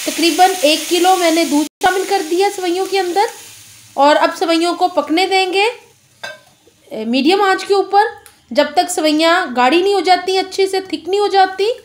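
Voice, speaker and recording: Cooking host: a high-pitched woman with an Urdu accent, gentle and polite, giving steady step-by-step recipe narration. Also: a little hiss or hum.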